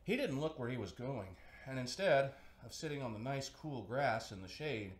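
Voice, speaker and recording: A man reading a children's story aloud as an expressive narrator. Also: medium pitch, moderate pace. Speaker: storybook reader, host. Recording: echoey room.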